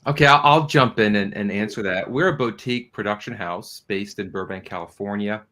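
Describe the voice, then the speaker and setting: A man's voice: on the low side, a little fast, sharp tone. A man speaking as an interview guest, explaining his company's work in a conversational, businesslike way.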